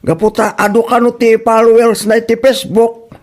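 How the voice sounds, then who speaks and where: A man acting a very high-pitched teasing buddy. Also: level tone, normal speed. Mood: mocking. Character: teasing, sceptical male village buddy. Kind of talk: Ilocano comedy drama acting.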